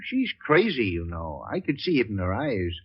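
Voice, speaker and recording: An actor playing a boozy, sarcastic doctor sidekick in a 1940s radio crime drama, theatrical and rambling. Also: male voice, on the low side, huge pitch swings, normal speed, breathy edge, heavily acted. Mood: wry, speculative. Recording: dull lo-fi 1940s radio recording.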